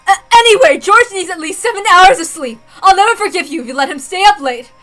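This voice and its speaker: A woman's voice: very high-pitched, animated, fast, pushed and bright, faint music behind, pitched above the performer's own voice, full character voice, poor audio. A woman voicing a bossy, flustered girl who stammers and scolds to hide affection in a story reading. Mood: flustered, scolding.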